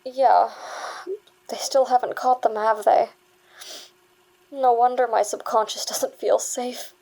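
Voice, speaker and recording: A woman's voice: fairly high pitch, steady tone, takes it slowly, gravelly, darkish tone, heavily acted, low-quality recording. A woman playing a shaken, self-doubting young woman in a naturalistic audio drama. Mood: anxious, weary.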